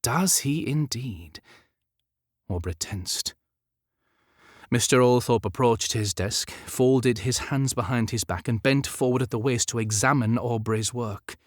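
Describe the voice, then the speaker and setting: A man narrating a historical romance novel as an audiobook, in measured, dramatic storytelling. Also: mid-range voice, no echo, studio quality.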